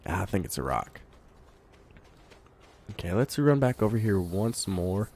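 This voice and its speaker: A man with a congested voice from a cold, giving relaxed let's play commentary, thinking aloud while he plays. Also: low voice, unhurried, a little hiss or hum. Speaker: gamer.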